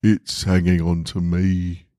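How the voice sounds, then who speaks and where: A man acts out a colossal living statue from a storybook, weary and fearful under its burden. The voice is low and rumbling, hushed almost to a whisper. It speaks slowly and softly, in a close, dry sound. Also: level tone, dry recording. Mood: hopeless. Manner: slow, soft-spoken.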